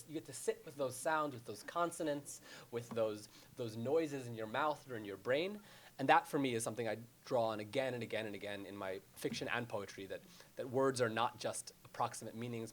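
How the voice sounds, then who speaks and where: A man on a writers' panel, talking in an animated, expressive way. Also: mid-range voice, a little fast. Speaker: panel author. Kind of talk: panel discussion.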